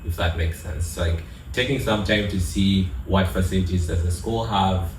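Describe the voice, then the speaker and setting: A man's voice: sits low, steady tone, normal speed. A young man from Uganda, a college freshman speaking as a panel guest, hedging thoughtfully with "like" and "kind of". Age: young adult. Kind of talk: casual panel chat. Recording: roomy, from a distance, faint noise.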